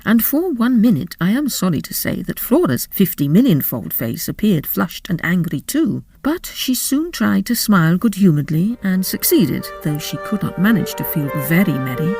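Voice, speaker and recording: A woman giving a dramatic storybook narration, expressive and deliberately enunciated. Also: fairly low pitch, slightly rough, no echo, soft background music. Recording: faint background noise.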